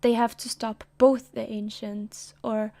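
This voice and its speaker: A woman's voice: fairly high pitch, little pitch movement, a little slow, dark tone. A woman streamer chatting casually between comic readings, jokey and giggly.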